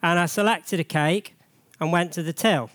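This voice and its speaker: A man gives a chatty, joking church sermon while fighting a cold that has nearly taken his voice. His speaking voice sits somewhat high. The sound is dry and close. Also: normal speed, fairly bright tone, no room sound. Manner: chatty, jokey, frequent rhetorical questions. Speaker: church treasurer giving a sermon.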